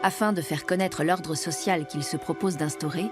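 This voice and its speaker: Low-voiced woman narrating a documentary. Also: steady tone, moderate pace. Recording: music bed under voice.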